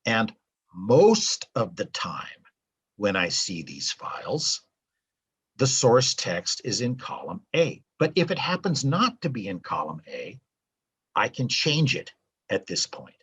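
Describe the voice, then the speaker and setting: An older man, a translator at his home desk, casually talking through a software tutorial with many ums and uhs. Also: medium pitch, very animated, slow pace, breathy edge. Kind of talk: casual screen-recorded tutorial.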